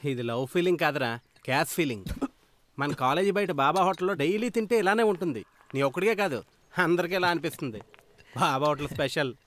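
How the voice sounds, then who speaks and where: Young college friend, wisecracking, mocking, highish voice, film comedy. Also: male voice, lively intonation, fairly quick, a bit bright. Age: young adult.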